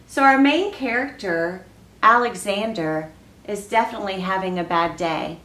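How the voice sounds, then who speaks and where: A woman reading coach giving an expressive children's read-aloud, pausing to put questions to young listeners. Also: mid-range voice. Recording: roomy, distant mic, faint background noise.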